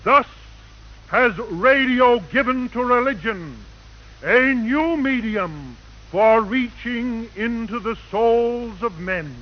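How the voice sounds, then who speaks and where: A man's voice: very high-pitched, expressive, slow. A man giving a formal religious radio address as a clergyman, solemn and oratorical. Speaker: clergyman speaker. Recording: muffled 1940s radio broadcast recording.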